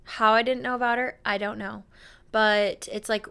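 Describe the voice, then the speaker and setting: Smooth-voiced young woman chatting casually. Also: on the high side, fairly even intonation, moderate pace, pushed and bright. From American Midwest. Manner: chatty, filler-heavy, storytelling. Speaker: podcast co-host. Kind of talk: casual chat.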